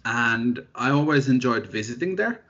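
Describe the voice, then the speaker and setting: A young man from Finland, a researcher, chatting in an interview in a relaxed, self-deprecating way with lots of fillers. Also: medium pitch, moderate pace. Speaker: guest researcher. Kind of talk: casual interview chat. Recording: dull lo-fi sound.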